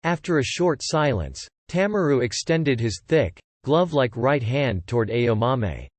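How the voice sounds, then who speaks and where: A man reads scripted audiobook narration with lively, varied intonation. His voice sits at middle pitch and is recorded dry and close. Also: a little slow, dry recording.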